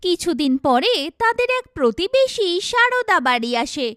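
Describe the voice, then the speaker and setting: A woman delivering scripted storybook narration for an animated tale. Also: very high-pitched, moderate pace, dry recording, studio quality.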